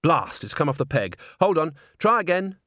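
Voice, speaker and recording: Friendly young male tinkerer as a man plays him, casual and animated, closely recorded for an audiobook. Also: on the high side, moderate pace, no echo, clean studio sound, pitched above the performer's own voice. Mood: busy. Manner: casual, explanatory.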